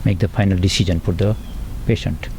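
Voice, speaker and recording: A man's voice: low-pitched, fairly even intonation, a little fast, warm tone, slight background noise. A middle-aged man with an Indian accent, a pathologist guest giving earnest explanatory answers with frequent fillers and "right, right".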